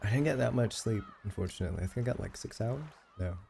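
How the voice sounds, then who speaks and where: Gravelly young man, casual streamer chat. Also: lowish voice, expressive, quick pace, dark tone, slight background noise. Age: late 20s. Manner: rambling, profane, self-deprecating. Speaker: game livestreamer.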